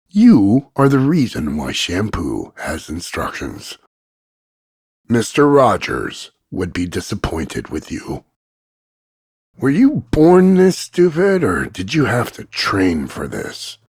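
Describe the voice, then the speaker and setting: A low, gravelly macho action hero for a voice-over demo. He is cocky and gruff, drawling his mocking one-liners slowly with big swings in pitch. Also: no room sound, studio quality, heavily acted. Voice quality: gravelly, husky. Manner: deadpan, drawling, mocking delivery. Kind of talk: scripted voice-over demo of one-line insults.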